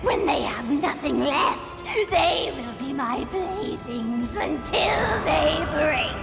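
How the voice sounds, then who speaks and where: A woman's voice: sits high, expressive, slow pace, vocal fry, exaggerated voice. A woman voicing a gloating, covetous female demon in a video game, with a cackling, theatrical delivery. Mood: manic gloating. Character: Envy, gloating, covetous female demon embodying a deadly sin. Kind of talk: video game villain acting. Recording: echoey, under loud music.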